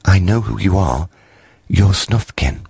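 A tiny shy furry creature, as a man puts it on talks in a high, stammering rush, begging and admiring. It sounds dry and close, with a husky edge under the raised pitch. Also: lively intonation, takes it slowly, darkish tone, no room sound, exaggerated voice. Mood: eager shy. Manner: breathless, stammering, pleading.

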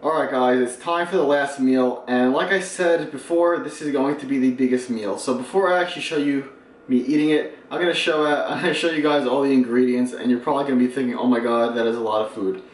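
A man's voice: medium pitch, fast talker, slight background noise. Upbeat young man in an echoey room. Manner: upbeat, explains nutrition while eating. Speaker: fitness vlogger. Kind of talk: casual food vlog. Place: small room at home, then home kitchen.

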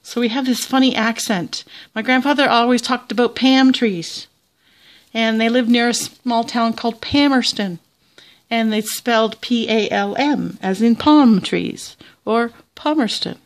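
Slow, chatty Southern Ontario woman. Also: on the high side. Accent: Southern Ontario Canadian. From Canada. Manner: chatty, rambling, many fillers and restarts. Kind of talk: casual show-and-tell narration. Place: small indoor tabletop setup.

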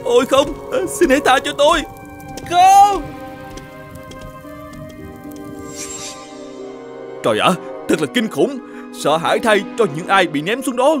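A man playing a grumpy, fault-finding middle-aged shoemaker in an animated fairy tale, with a scolding, exclamatory manner. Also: very high voice, normal speed, over music. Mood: terrified.

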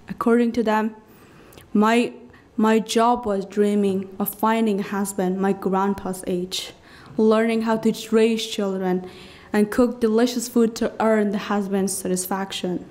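A woman's voice: mid-range voice, darkish tone. Young Afghan woman, English, earnest podium storyteller, phrase-by-phrase delivery. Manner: earnest, emphatic, pausing between phrases. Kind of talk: personal story told on stage. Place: cultural centre stage. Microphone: podium mic on stand.

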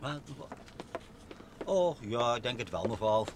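An actor playing a friendly, deferential ship's purser in a vintage Dutch radio drama. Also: male voice, mid-range voice, very animated, takes it slowly. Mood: cheerful, obliging. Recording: noisy old radio recording.

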